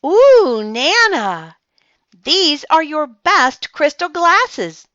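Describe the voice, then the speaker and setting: A woman voicing a spoiled, excitable little girl who squeals and exclaims dramatically. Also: a little high, slow, dry recording, highs cut off, voice pushed up, heavily acted. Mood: thrilled.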